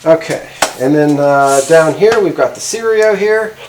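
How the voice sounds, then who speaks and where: A man's voice: fairly high pitch, expressive, unhurried, noisy background. A middle-aged man casually demonstrating gear as a video host, talking in a rambling, hesitant, informal way. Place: indoor garage workshop at a workbench.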